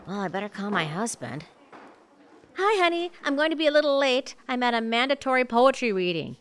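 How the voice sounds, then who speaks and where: A woman playing a flustered, lively shopper. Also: a little high, fairly quick, clean tone. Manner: flustered, later whispering asides. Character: flustered woman shopper caught at a poetry reading.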